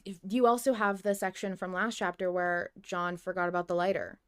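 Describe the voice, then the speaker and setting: A woman's voice: lowish voice, flat delivery, a little fast, clear, resonant tone. A woman co-hosting a podcast, chatting casually and emphatically as a fan, with some swearing. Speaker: podcast co-host. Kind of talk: casual fan discussion of an audio drama.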